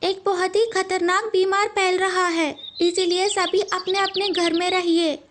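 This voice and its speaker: A woman voicing a small bird herald in a children's animal story, calling out in a loud, proclaiming manner. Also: extremely high pitch, level tone, unhurried, a little hiss or hum, voice pushed up. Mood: urgent. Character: small male messenger bird crying out a royal warning.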